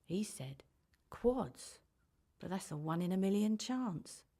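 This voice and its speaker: A woman's voice: mid-range voice, animated, slow pace, warm tone. An actress playing a regretful, anxious middle-aged birth mother in a radio drama monologue. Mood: reflective, pained.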